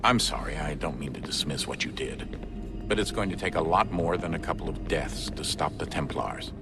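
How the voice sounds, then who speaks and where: An actor playing a steady middle-aged father and veteran of a secret order in a video game, measured and matter-of-fact. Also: very deep voice, over music. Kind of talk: video game cutscene acting.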